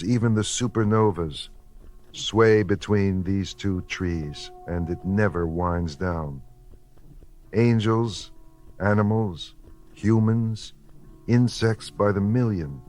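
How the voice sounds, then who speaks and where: A man reads poetry in a fairly low voice. His pace is very slow and his phrasing deliberate and contemplative. Soft music plays behind him. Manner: measured, deliberate phrasing with pauses. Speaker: poetry reader. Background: soft background music.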